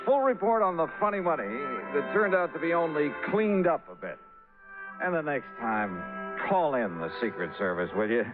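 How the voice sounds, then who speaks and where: An actor playing a tough, wry American insurance investigator, clipped and sardonic, in a 1950s radio detective drama. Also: male voice, a little high, animated, moderate pace, music in the background, lightly acted. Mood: wry narration. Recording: tinny old radio broadcast recording.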